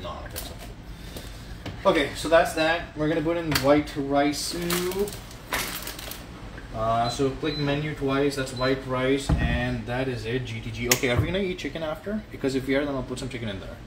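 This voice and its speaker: A man's voice: medium pitch, normal speed. Joking man cooking in an echoey kitchen. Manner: joking, loose and rambling. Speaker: fitness coach and vlogger. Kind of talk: casual livestream cooking chat. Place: home kitchen. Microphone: phone camera held or propped nearby. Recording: echoey kitchen with clear ambient noise.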